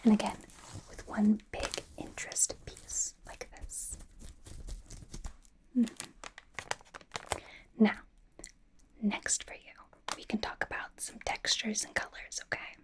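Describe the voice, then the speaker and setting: A woman in an ASMR roleplay playing a doting, bossy young pop idol turned fashion stylist, in a soft breathy whisper with a playful, halting delivery. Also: medium pitch, monotone, natural voice. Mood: doting, reassuring. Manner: playful, gushing, gently insistent, halting. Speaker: ASMR performer. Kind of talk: ASMR roleplay, personal attention.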